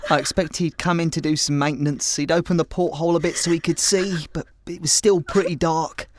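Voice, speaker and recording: Blustering, dim husband played by an actor. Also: male voice, fairly high pitch, steady tone, fairly quick, natural voice. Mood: defensive. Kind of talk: comic audio drama acting.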